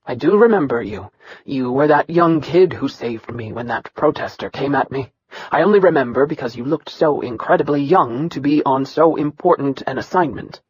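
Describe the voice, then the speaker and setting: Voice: mid-range voice, lively intonation, normal speed, slightly rough, dull audio. A narrator voicing a middle-aged emperor who speaks in a shaken, apologetic way. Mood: remorseful.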